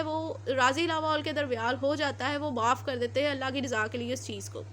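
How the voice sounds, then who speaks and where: A woman with a Pakistani accent and a very high voice gives an explanatory lecture. She talks informally, goes back over her points and mixes in English terms. There is faint noise behind her. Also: fairly bright tone. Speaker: law lecturer. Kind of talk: explanatory lecture with everyday examples.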